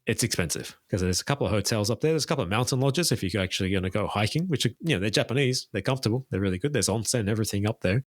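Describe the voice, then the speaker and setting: Man, tour guide on a podcast, fairly low voice, dry close sound, informative and keen. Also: expressive, rapid-fire, few pauses, no room sound. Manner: detailed, informative, enthusiastic recommendations. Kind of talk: casual interview chat.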